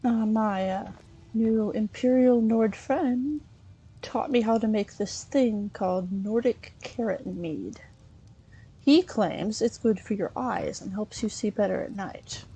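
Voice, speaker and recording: A woman narrating a video game in character as an adventuring scholar, halting and thinking aloud as she goes. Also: medium pitch, warm tone, slightly rough, rough recording. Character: chatty Breton scholar woman, treasure-hunting adventurer. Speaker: let's play host role-playing a character.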